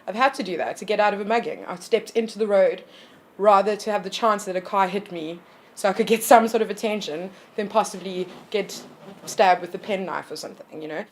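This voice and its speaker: A woman's voice: mid-range voice, brisk, a little hiss or hum. A woman in her thirties from South Africa, talking frankly in a casual panel discussion with a lot of fillers. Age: 30s. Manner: frank, with a lot of ums and likes. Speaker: panel guest.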